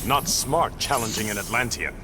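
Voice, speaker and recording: A man's voice: on the low side, lively intonation, moderate pace, over loud music, close to an everyday voice. A man playing a proud, stern Atlantean warrior king in a video game, speaking in terse commands. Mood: stern, defiant. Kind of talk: video game dramatic acting.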